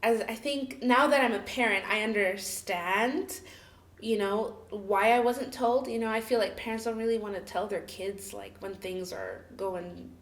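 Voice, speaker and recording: A woman's voice: mid-range voice, a little slow. Chatty woman in an echoey room. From Cameroon, raised in the UAE. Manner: chatty, laughs often, many fillers like "like" and "you know". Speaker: interview guest. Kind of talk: casual personal storytelling.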